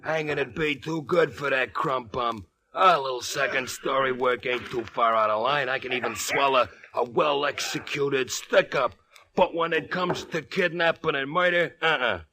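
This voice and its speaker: Small-time crook informant, sharp bright tone, streetwise slang, bad grammar, vintage radio detective drama. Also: male voice, mid-range voice, normal speed. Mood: genial bravado. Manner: slangy, ungrammatical street talk.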